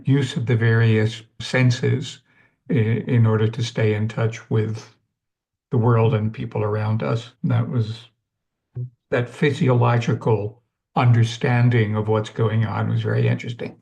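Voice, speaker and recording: A man from Switzerland, a webinar participant, putting his points carefully with halting phrasing. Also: on the low side, flat delivery, gravelly, darkish tone. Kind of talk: asking questions in a webinar. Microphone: video call.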